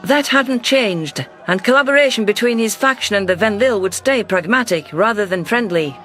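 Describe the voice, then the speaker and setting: A man narrating a science fiction audio story in an even, scripted delivery. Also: sits high, moderate pace, soft background music.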